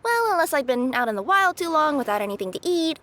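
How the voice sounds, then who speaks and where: A woman voicing a young, bubbly, easily flustered cat spirit courier in a video game, very expressive. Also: high-pitched, quick pace, heavily acted. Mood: cheerful. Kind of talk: English-dub video game cutscene acting.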